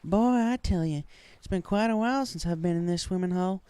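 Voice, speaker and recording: A man voicing an easygoing, folksy female farm pony with a reassuring, unhurried manner in a story reading. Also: high voice, dark tone, clear, resonant tone, no room sound, voice pushed up, close to an everyday voice. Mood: cheerful. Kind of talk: fan fiction story reading.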